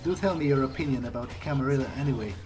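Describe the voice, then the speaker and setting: A man's voice: medium pitch, racing. Hesitant man role-playing over game music. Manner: hesitant, questioning, conversational. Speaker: gamer role-playing his character. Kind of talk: in-character improvised replies in a game playthrough.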